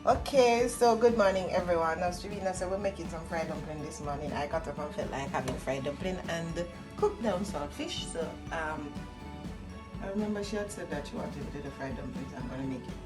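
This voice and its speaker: A middle-aged Jamaican-accented woman walks through a recipe in a casual, slightly low voice. She explains as a home cook would, searching for a word here and there, over clearly audible music. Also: a little fast. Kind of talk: casual cooking demonstration. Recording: music playing behind the voice.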